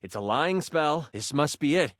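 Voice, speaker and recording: A man's voice: fairly high pitch, animated, moderate pace, fairly bright tone, no echo. A man playing an enthusiastic game master character in a video game, narrating a fantasy quest in a theatrical, dramatic way. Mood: excited, urging. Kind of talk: animated tabletop adventure narration in a video game.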